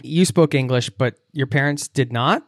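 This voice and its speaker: A man's voice: mid-range voice, normal speed, dry recording. Laid-back guy hosting a podcast, piles on questions, dry close sound. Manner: easygoing, asks several questions in a row. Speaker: podcast host. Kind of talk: interview questions.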